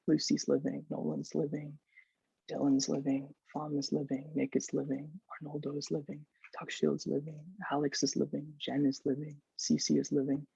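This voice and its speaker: A young man reads poems in a slightly high, gravelly voice. The recording is dull and roomy, like a video call. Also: warm tone. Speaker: poet. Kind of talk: poetry reading.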